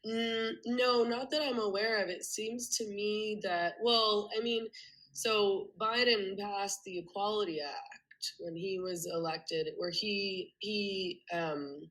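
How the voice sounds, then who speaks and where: A woman's voice: medium pitch, fairly even intonation, slow pace, a bit bright, low-quality recording. A woman with a cold, sounding congested, explaining her views earnestly at length with frequent ums and restarts as a podcast guest. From Canada. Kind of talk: remote interview conversation.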